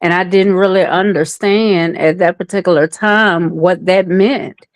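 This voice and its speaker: A woman's voice: lowish voice, steady tone, measured pace, no room sound, clean studio sound. A woman taking part in a group discussion, sharing personal reflections in a conversational way. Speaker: participant in a group coaching discussion.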